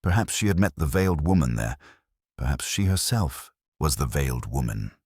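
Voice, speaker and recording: A man narrates a detective story in a very deep voice. His delivery is deliberate and literary, yet the pitch moves in a lively way. The tone has some huskiness. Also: slightly rough, no room sound, studio quality. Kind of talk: scripted audio mystery narration.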